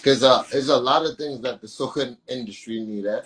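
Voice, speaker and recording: A man's voice: mid-range voice, a little fast, fairly bright tone, a little hiss or hum, low-quality recording. A man with a Vincentian accent, a soca artist chatting in an interview and repeating words for emphasis. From Saint Vincent. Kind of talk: casual interview chat.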